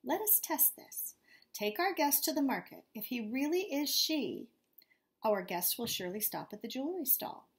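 A woman reading aloud as a clever queen mother who talks in a brisk, knowing way. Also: high-pitched, very animated.

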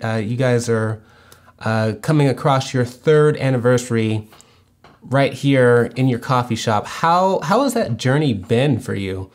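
A young man hosting an interview over a video call, asking calm, thoughtful questions from a small room at home. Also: mid-range voice, a little slow. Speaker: host. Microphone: video call webcam.

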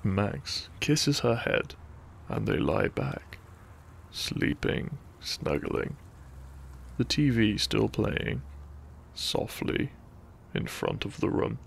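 A man narrating a gentle bedtime story in ASMR style, soft, breathy and soothing, often close to a whisper. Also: fairly low pitch, big pitch swings, drawn-out words, pauses often, slight background noise. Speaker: sleep-aid audio narrator.

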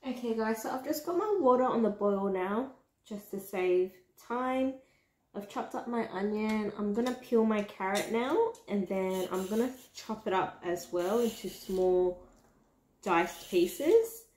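A woman's voice: mid-range voice, measured pace, a little mellow, echoey room. An Australian woman narrating a casual cooking vlog step by step, talking to her viewers in her home kitchen. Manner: chatty, step-by-step, addresses viewers as guys. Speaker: cooking vlogger.

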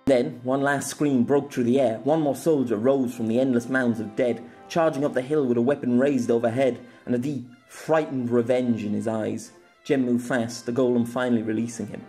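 A man's voice: mid-range voice, steady tone, normal speed, dark tone. Man reading an audiobook, faint music. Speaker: author and narrator. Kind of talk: audiobook narration.

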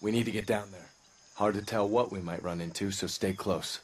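An actor playing a gruff, profane male spy handler in a jungle combat video game. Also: lowish voice, steady tone, a little fast, slight background noise, natural voice. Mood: terse, guarded.

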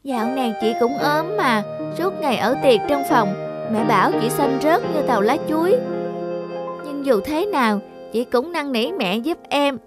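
Little girl, cartoon, stubborn, whining, childish, very high-pitched. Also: expressive, normal speed, loud music behind the voice. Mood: sulky. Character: whiny stubborn little girl afraid of the dentist. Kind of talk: cartoon voice acting.

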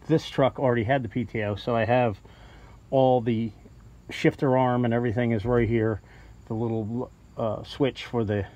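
A man's voice: mid-range voice, darkish tone. A man casually explaining, step by step, how he fits a part onto a truck while he works outdoors, recorded on a handheld phone. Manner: plain-spoken, explaining step by step. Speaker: truck mechanic. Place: outdoors beside a truck, cloudy day.

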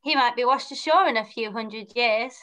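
A woman's voice: on the high side, moderate pace, bright, forceful tone. A young woman playing a brave, earnest young male hero in an amateur pantomime read-through on a video call. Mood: resolute.

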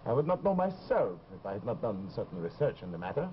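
A man's voice: lowish voice, big pitch swings, fast. Formal middle-aged male doctor, hesitant, lilting delivery, 1950s TV drama, noisy soundtrack. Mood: grave, hesitant. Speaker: actor. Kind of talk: 1950s live television drama acting. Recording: dull, noisy old TV soundtrack.

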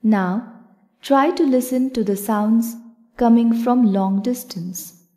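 Casting: female yoga nidra guide, calm and measured, unhurried delivery, soft-edged relaxed tone. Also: on the high side. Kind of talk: guided meditation narration.

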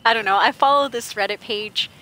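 A woman's voice: a little high, a little fast, sharp tone, slight background noise, low-quality recording. A young woman streaming a game from home, chatting casually and thinking aloud as she sorts and prices her loot. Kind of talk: casual gameplay commentary. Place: home gaming setup, indoors.

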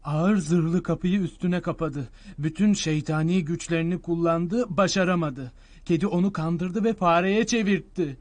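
Guy doing a high, urgent young cartoon brother, dry sound. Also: moderate pace, a little mellow, no room sound, natural voice. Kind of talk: Turkish cartoon dub.

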